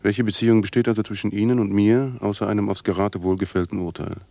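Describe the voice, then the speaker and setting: An actor playing a haunted, defiant outcast with a brooding, poetic delivery in a literary radio drama. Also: male voice, on the low side, fairly even intonation, fast talker, vocal fry, warm tone, no room sound. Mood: bitter, resigned.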